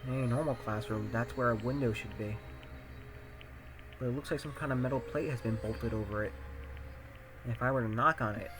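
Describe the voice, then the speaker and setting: A man's voice: medium pitch, lightly acted. Man playing an earnest teenage schoolboy, music behind. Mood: puzzled, uneasy. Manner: self-questioning, musing aloud. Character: anxious, earnest teenage high-school boy narrating his thoughts. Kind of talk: first-person visual novel narration, fan dub.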